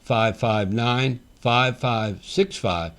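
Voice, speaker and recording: A man's voice: medium pitch, very slow, fairly bright tone. A man giving instructional narration as a company representative, working through a checklist step by step and stressing the start of each point. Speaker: company representative presenting a product explainer.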